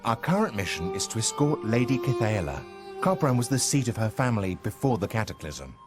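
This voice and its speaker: Low-voiced, courteous adventurer, expressive. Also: male voice, fairly quick, music in the background. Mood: earnest, respectful. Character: earnest, courteous adventurer on a quest. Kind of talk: video game cutscene acting.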